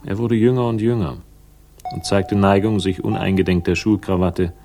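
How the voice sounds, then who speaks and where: An actor playing a world-weary, sardonic investigator in a 1960s German radio crime drama, speaking in a dry, laconic, cynical way. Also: male voice, sits low, fairly even intonation, normal speed, music in the background. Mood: wry, disdainful. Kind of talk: 1960s radio noir narration and dialogue.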